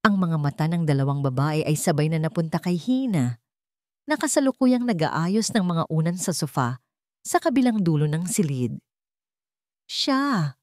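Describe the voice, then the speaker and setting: Female narrator for dramatic audio fiction, lowish voice, dry close sound. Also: very animated, normal speed, warm tone, no echo. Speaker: story narrator.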